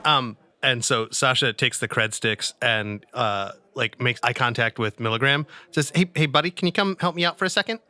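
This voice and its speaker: Bright-voiced man playing a tabletop game. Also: mid-range voice, moderate pace, few pauses. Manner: breezy, playful, acts out dialogue in first person. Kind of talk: casual improvised role-play narration.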